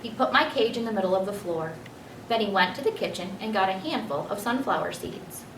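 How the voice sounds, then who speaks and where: Female children's book narrator, medium pitch, expressive. Roomy recording with faint noise. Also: brisk, recorded from a distance.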